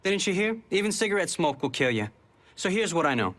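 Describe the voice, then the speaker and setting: Cheeky higher-voiced newspaper reporter. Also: male voice, big pitch swings, a little fast, a bit bright. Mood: wry, eager. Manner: wry, flippant, chatty. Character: cheeky, fast-talking male muckraking newspaper reporter. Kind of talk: video game cutscene acting.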